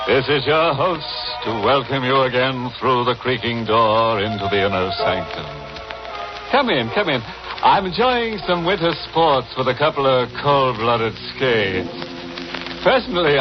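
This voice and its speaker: An actor playing a ghoulish, punning horror show host in theatrical, drawn-out 1940s radio style. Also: male voice, medium pitch, close to an everyday voice. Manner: theatrical, drawn-out, darkly jocular delivery. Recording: muffled, noisy old radio recording.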